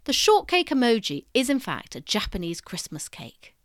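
A woman reads scripted educational narration like a storyteller. Her voice sits fairly high and swoops with lively, varied intonation. The recording is dry and close, with very little room sound. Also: moderate pace, no room sound. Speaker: presenter of an educational history podcast.

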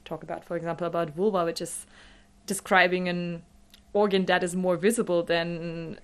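A woman's voice: on the low side, little pitch movement, moderate pace. A woman who is a native German speaker, explaining things as an interview guest in a thoughtful, academic way.

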